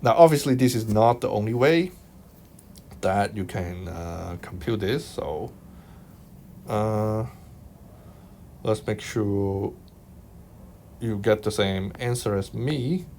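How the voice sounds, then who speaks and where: A man from Hong Kong with a Hong Kong accent, talking through a maths exam solution as a tutor, unhurried and thinking aloud, checking in with his listeners. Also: fairly low pitch, a little mellow, slight background noise. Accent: Hong Kong Cantonese. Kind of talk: explaining a worked exam solution over screen recording. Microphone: voice-over on screen recording.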